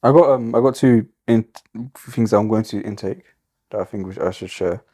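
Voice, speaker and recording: A man in casual podcast banter, animated and sweary, often repeating himself. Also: lowish voice, fairly quick. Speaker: podcast co-host.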